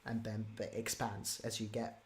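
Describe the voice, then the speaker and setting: An interviewer of unclear gender chatting casually about making audio drama, halting and repeating words for emphasis. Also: medium pitch, little pitch movement. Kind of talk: casual chat. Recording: noisy background with clicks.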